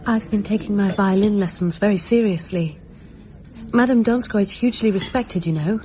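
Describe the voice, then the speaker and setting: A woman's voice: mid-range voice, moderate pace, a little mellow, slight background noise, natural voice. An actress playing an anxious, defensive young woman violinist in a radio drama, earnest and hesitant.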